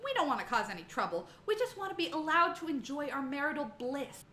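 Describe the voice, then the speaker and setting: Woman as lady bear, casual courtesy with firm menace, comic storybook, bright voice, roomy echo. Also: high-pitched, sing-song, fast talker, slight background noise, heavily acted. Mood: politely warning. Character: polite but quietly menacing married lady bears.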